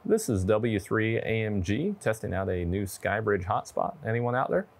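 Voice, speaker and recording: A young man with a fairly low voice presents a radio demo. He talks casually, as if chatting to a fellow hobbyist, close on a lapel mic indoors. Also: lively intonation, moderate pace, creaky. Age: 20s. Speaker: presenter demonstrating ham radio gear. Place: indoor studio with wood-panel backdrop.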